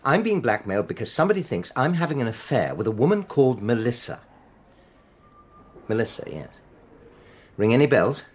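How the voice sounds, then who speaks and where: An actor playing a wronged, coldly sarcastic husband in a radio drama, with a dry, deliberate manner. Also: male voice, mid-range voice, very animated, slightly rough. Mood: dry, sardonic. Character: wronged husband, former rugby man, coldly sarcastic and controlled. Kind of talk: naturalistic radio drama acting.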